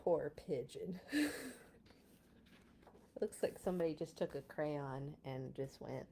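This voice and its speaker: A woman hosting a children's read-along, casual and amused, with chatty, expressive asides. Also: deep voice, slow, vocal fry, slight background noise.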